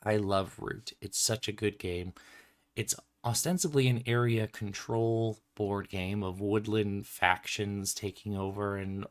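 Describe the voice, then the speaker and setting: Low-voiced man chatting casually, slightly sniffly. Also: steady tone, measured pace. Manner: chatty, informal, explanatory. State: slightly sniffly, getting over a cold. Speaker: game designer hosting a commentary stream. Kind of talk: casual unscripted design commentary livestream.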